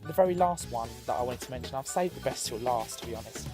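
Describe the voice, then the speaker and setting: A man's voice: mid-range voice, lively intonation, quick pace, music in the background. A young man chatting eagerly about a favourite game, full of fillers, filmed at arm's length outdoors. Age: young adult. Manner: enthusiastic, gushing with fillers. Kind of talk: casual chat. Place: outdoors under an overcast sky. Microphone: phone or camera held at arm's length.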